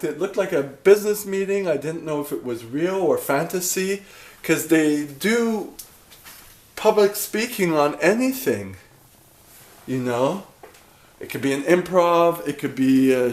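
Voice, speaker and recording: A man in his thirties talking casually to a camera at home in a dark room, chatty and rambling, often cutting himself off mid-sentence. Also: a little high, expressive, moderate pace, echoey room. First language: English. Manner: rambling, chatty, self-interrupting. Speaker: vlogger.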